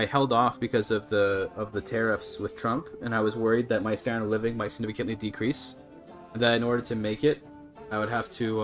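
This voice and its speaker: A man's voice: fairly low pitch, a bit bright. Casual Canadian man livestreaming over music. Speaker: live streamer. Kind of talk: casual gaming livestream chat.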